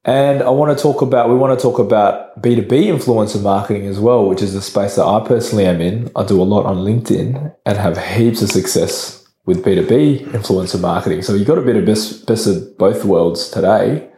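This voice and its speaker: A man with a somewhat low, croaky voice hosts a podcast in a relaxed, chatty way. His tone is dark and mellow, and the room around him rings with a noticeable echo. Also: brisk, hardly any pauses. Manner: relaxed, chatty, laughs often. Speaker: podcast host. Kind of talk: casual podcast chat.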